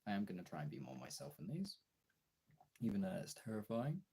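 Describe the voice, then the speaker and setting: A young man with a husky voice chatting casually and informally as a livestreamer, replying to his viewers as he goes. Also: on the low side, wildly swooping pitch, a little fast, mellow, slight background noise. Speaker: streamer and video creator.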